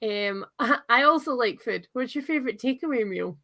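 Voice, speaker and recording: A woman's voice: on the high side, expressive, moderate pace, bright, forceful tone, no echo, clean studio sound. A woman hosting a casual live book chat, interviewing an author in a gushing, playful, bantering way.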